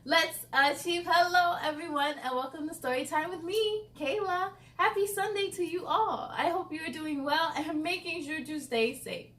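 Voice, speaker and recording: A woman's voice: extremely high pitch, normal speed, hardly any pauses, a bit bright, distant mic. A woman hosting a children's read-aloud, speaking enthusiastically and encouragingly straight to young listeners, with chatty asides. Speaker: host of a children's read-aloud story series.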